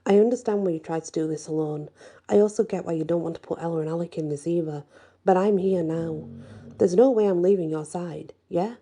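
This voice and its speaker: A woman's voice: fairly low pitch, a little fast, mellow. A woman voicing a devoted, protective male werewolf in a storybook, speaking earnestly and reassuringly. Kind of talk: acted storybook dialogue.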